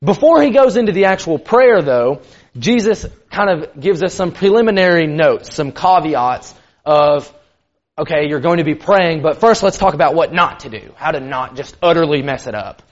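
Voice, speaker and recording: A man giving a sermon in a loud, carrying voice, casual and conversational with lots of "kind of". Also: a little high, expressive, normal speed. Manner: loud voice that carries; conversational, many fillers like "kind of". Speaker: preacher. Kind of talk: sermon teaching. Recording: dull lo-fi recording.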